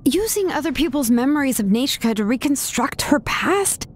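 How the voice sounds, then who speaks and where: A woman's voice: sits high, lively intonation, normal speed, slight background noise. A woman playing a shrewd young craftswoman in a video game, composed, analytical and skeptical. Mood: knowing, probing.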